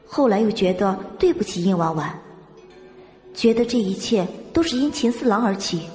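A woman reading a dramatic novel as an audiobook narrator, in measured storytelling style. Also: mid-range voice, lively intonation, a little mellow, faint music behind.

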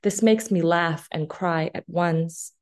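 A woman in her thirties, born in Afghanistan with Farsi as her first language, reads poetry in a measured, deliberate way over a video call from a small office. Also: lowish voice, a little mellow. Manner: measured, deliberate recitation with long pauses. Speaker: poet. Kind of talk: slow poetry reading. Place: small office room indoors. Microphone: laptop webcam video call.

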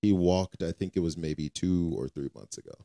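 A man with an extremely deep, mellow voice. He co-hosts a faith podcast in a conversational, affirming way, repeating phrases for emphasis. The recording is dry. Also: moderate pace, gravelly, no room sound. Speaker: podcast co-host. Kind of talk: casual faith podcast chat.

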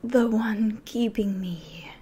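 A young woman playing a menacing, commanding female demon in a breathy, hushed ASMR roleplay whisper. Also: medium pitch. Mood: weary, commanding. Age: young adult. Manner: slow, deliberate, imperious. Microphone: close microphone, facing camera.

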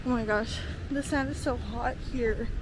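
Loud beach and vehicle noise surrounds a woman chatting to her camera. Her voice is fairly high and she takes her time. She sounds informal and giggly, as in a homemade family vlog. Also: fairly even intonation, a little slow. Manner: informal, giggly, doting baby talk. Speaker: vlogger. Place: outdoors on a windy beach.

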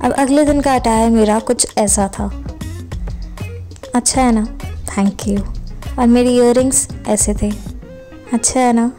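A woman's voice: fairly high pitch, fairly even intonation, unhurried, long pauses, dark tone. A young woman narrating a travel vlog in a casual, chatty way, often addressing viewers directly. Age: young adult. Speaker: vlogger. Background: background music.

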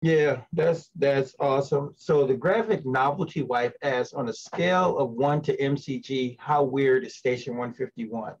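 A man's voice: mid-range voice, unhurried, hardly any pauses. A middle-aged man co-hosting a casual interview over a video call, speaking effusively. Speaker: co-host interviewing guests. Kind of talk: casual chat. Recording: dull lo-fi video call audio.

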